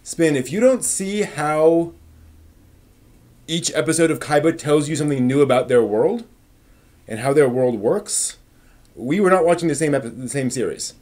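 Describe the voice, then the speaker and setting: A man hosting a casual live stream, replying to viewer comments with thinking pauses and firm, emphatic opinions. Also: a little high, expressive, a little mellow.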